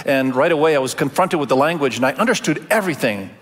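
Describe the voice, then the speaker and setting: Man, middle-aged pastor, raised in Indonesia, conversational sermon, frequent ums and restarts, slightly higher voice, long hall echo. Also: animated, fast talker. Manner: warm conversational delivery with frequent fillers and restarts. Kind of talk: Sunday sermon. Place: large church auditorium. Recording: long church reverb.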